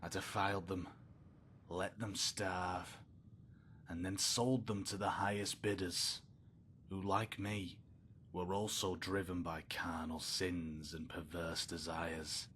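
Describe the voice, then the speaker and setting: An actor playing a doomed, repentant criminal in a video game, reading out a confession in a solemn, rustic, deliberate way. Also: male voice, sits low, little pitch movement, a bit bright, slight background noise. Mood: grim, resigned. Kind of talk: dramatic game voice-over of a confession.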